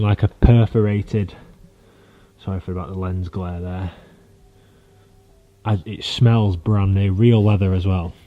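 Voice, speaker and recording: A man's voice: fairly low pitch, muffled recording. A man giving an informal, matey, blokey walk-around commentary as a car vlogger. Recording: clear background noise.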